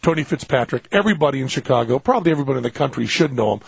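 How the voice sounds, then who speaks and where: A man with a Western Massachusetts accent, hosting a radio chat in a gravelly, husky voice. He tells stories animatedly and throws his pitch up for the punchlines. The sound is dry and slightly dull, like broadcast radio. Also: racing, no echo. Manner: animated storyteller, acts out quotes. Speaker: radio show host.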